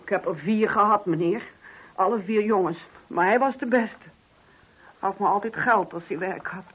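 A woman's voice: medium pitch, moderate pace, breathy edge, close to an everyday voice. A woman playing a talkative, grieving older mother in a radio drama, speaking colloquially and emphatically. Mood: mournful, proud. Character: chatty grieving older mother of four sons, indignant. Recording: dull, muffled old radio drama recording.

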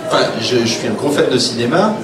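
A man's voice: mid-range voice, lively intonation, quick pace. A man speaking informally as an author on a panel, telling anecdotes in a blunt, wry way and acting out quoted remarks. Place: public round table in a room. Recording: roomy, distant live recording with clear noise.

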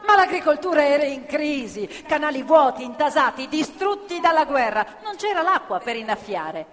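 A woman's voice: sing-song, normal speed. A woman performing dramatic narrative theatre with declamatory, emphatic phrasing. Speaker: stage storyteller and actress. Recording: reverberant hall, loud live music behind. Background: live violin, piano and double bass music.

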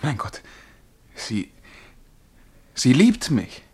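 A lovestruck young poet in a radio play. He is nervous and ardent, speaking in breathless, earnest bursts at a medium male pitch. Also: very animated, very slow, a little mellow, slightly rough, full character voice. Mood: rapturous. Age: young adult. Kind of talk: German radio drama acting.

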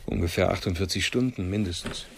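No-nonsense cop in radio drama, lowish voice, blunt plain talk, slight noise. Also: male voice, a little fast, gravelly. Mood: blunt, skeptical. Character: down-to-earth male crime-scene policeman, no intellectual. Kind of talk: radio crime drama dialogue.

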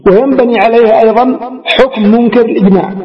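High-pitched man lecturing in a reverberant hall. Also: steady tone, fast talker. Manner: didactic, restates and explains each quoted phrase. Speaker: religious studies teacher. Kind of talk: lecture explaining a classical text line by line. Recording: reverberant hall, dull lo-fi radio-like sound.

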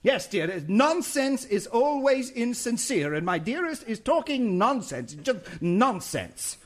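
An actor playing a gentle, patient middle-aged pastor who speaks in a soothing, fatherly way and can turn stern. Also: extremely high pitch, very animated, takes it slowly, full character voice. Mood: coaxing. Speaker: pastor and husband. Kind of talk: stage play acting.

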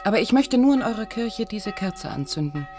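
A woman's voice: mid-range voice, very animated, a little fast, darkish tone, lightly acted. A woman acting a grieving noblewoman over music. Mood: sorrowful, pleading. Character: polite, grieving medieval noblewoman. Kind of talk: radio drama acting.

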